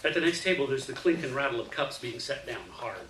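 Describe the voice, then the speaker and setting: A man reads a first-person literary story aloud as an expressive storyteller, performing the dialogue within the narration. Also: medium pitch, fast. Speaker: story reader. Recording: roomy, distant mic, faint hiss.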